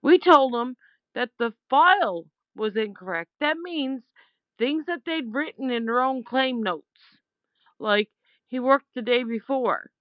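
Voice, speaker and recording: A woman's voice: sits high, expressive, slow, dry recording. A woman leaving an informal complaint voicemail over the phone, speaking in a blunt, aggrieved and emphatic way, with dull lo-fi sound. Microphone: phone call.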